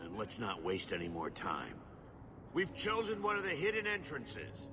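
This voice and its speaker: A man voicing a gruff, suspicious, money-minded dwarf expedition boss in a video game, blunt and brusque. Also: medium pitch, wildly swooping pitch, normal speed, background noise. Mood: impressed, eager. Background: loud music.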